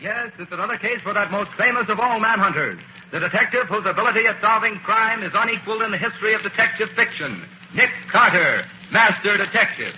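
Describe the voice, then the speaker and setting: A man's voice: high voice, expressive, a little fast. A man announcing an old-time radio mystery in a grand, emphatic, dramatic style. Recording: muffled old radio recording with hiss.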